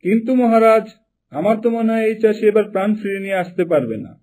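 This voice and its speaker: A cunning cartoon minister, performed by a man, with a high, dark-toned voice. He is flattering and sly, always deferring obsequiously to his master. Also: fast, lightly acted. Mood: sly, doubtful. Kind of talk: Bengali cartoon dubbing.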